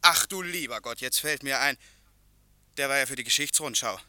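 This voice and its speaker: A put-upon male printing foreman in a radio play. The voice is extremely bright and tense at a medium pitch, with a plain, defensive way of explaining himself. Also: normal speed. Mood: exasperated. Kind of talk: German radio play acting.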